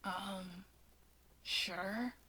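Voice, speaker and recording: Lady doing an awkward jumpy young guy in a story, quiet and clipped, defensive, kinda hesitant. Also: medium pitch, fairly even intonation, drawn-out words, slightly rough, no room sound, exaggerated voice. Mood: flustered. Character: awkward, defensive, easily startled young man. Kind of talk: expressive fan fiction character reading.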